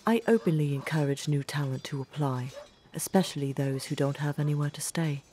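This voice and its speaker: A woman playing a stern but caring commander of a knights' academy, speaking formally and with measured authority in a fantasy audio drama. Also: sits low, warm tone, lightly acted. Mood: composed, commanding. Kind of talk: scripted fantasy audio roleplay.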